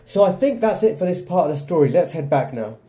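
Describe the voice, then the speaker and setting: A man's voice: a little high, very animated, quick pace, dark tone. A man hosting a gaming video with casual gameplay commentary.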